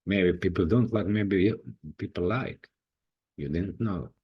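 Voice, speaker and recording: Man, croatian accent, deep voice, slow careful english, husky tone. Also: dull audio. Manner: halting, non-native phrasing with restarts. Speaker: indie author. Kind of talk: personal talk to camera.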